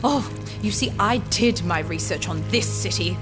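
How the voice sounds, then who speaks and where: A woman's voice: fairly low pitch, normal speed, over music, close to an everyday voice. An actress playing a bitter, vengeful female rebel leader in a fantasy audio drama, theatrical, taunting and sardonic. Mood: bitter, menacing. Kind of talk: full-cast fantasy audio drama acting.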